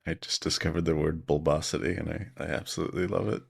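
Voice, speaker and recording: A man's voice: extremely low pitch, little pitch movement, fast talker, creaky, husky. A man in a casual chat, responding as a conversation partner.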